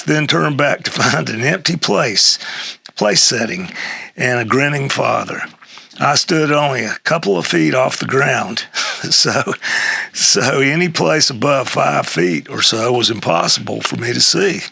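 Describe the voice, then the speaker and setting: An older man reading a humorous memoir essay aloud in a halting way, with a gravelly, husky voice. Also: medium pitch, lively intonation, sharp tone, no room sound. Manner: halting read-aloud with stumbles and chuckles. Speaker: reader of an essay excerpt.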